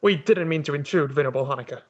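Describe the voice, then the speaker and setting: Man acting as dutiful high-voiced samurai envoy, slightly muffled. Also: rapid-fire, lightly acted. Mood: apologetic, concerned. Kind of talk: dramatic script reading.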